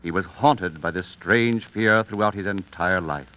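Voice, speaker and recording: A man's voice: low-pitched, unhurried. A man narrating a true-oddity story in a dramatic, scripted old radio style. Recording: noisy, dull old radio recording.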